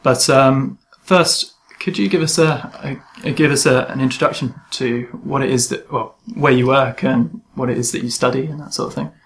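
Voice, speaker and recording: Guy hosting a podcast chat, mid pitch, friendly, kinda fumbles his questions. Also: fairly even intonation, moderate pace, few pauses, fairly bright tone. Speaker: podcast host. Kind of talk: casual interview.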